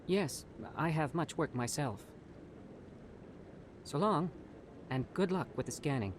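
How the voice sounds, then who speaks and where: A man's voice: on the high side, animated, takes it slowly, slight background noise. An actor playing a curious, fussy male alien scientist in a video game, who sounds eager and inquisitive. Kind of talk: video game cutscene acting.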